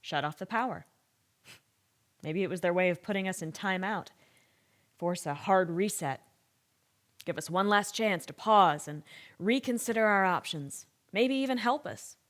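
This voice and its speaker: An actress playing a thoughtful, troubled expert in an audio drama interview, speaking in a measured, reflective way. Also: female voice, medium pitch, expressive, close to an everyday voice. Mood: grave, reflective.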